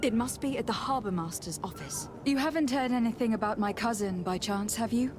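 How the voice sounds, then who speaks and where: A woman's voice: a little high, fairly quick, noisy background, natural voice. An actress playing a polite, composed young noblewoman in a fantasy game, courteous and formal as she speaks. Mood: courteous, curious. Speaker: voice actor in a video game. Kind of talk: scripted fantasy game dialogue. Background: music.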